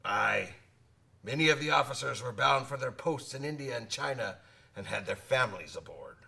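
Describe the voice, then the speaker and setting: A hearty old sailor in a radio play, forever spinning yarns. His voice is bright and gravelly, swinging widely in pitch. He talks like an old salt, recorded a little away from the mic. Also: expressive, moderate pace, far from the mic, heavily acted. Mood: reminiscing. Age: older. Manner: nautical old-salt talk, calls listener laddie. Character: talkative old sailor, hearty teller of sea tales. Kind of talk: radio drama acting.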